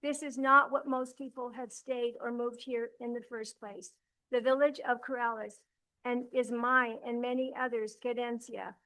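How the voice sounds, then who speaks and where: A woman's voice: on the high side, fairly bright tone, low-quality recording. Earnest woman at a council podium. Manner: earnest, halting, with restarts and self-corrections. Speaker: resident giving public comment. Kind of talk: public comment at a council meeting. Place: council chamber.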